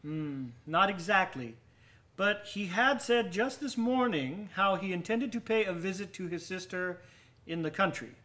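An actor in a radio drama playing an over-polite, nervous murderer with a genteel, halting way of talking. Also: male voice, sits high, animated, bright, forceful tone, exaggerated voice. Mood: nervous politeness. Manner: genteel, overly courteous, halting. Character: nervous, over-polite male murderer hiding guilt from police.

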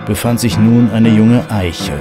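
A man's voice: lowish voice, steady tone, measured pace. A man delivering scripted narration for a horror audio drama. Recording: loud music under the voice.

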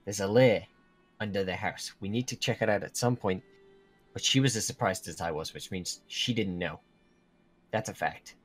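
A man's voice: lowish voice, normal speed, breathy edge. A man playing a worried, protective young werewolf in an improvised Minecraft roleplay, talking in a hesitant, explaining way. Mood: serious. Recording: music under the voice.

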